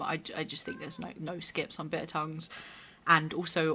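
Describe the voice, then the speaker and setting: A young woman with a hoarse, raspy voice, chatting casually and rambling while streaming a game. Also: sits low, sharp tone. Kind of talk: casual chat while gaming.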